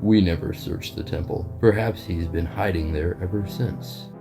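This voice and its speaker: A man's voice: low voice, vocal fry, music in the background. An actor playing a sly courtier in an audio drama, deferential and full of hints as he reports to his queen. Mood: wary, hinting. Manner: deferential, hinting, deliberate. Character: sly, insinuating male courtier informant to a queen. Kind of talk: scripted audio drama acting.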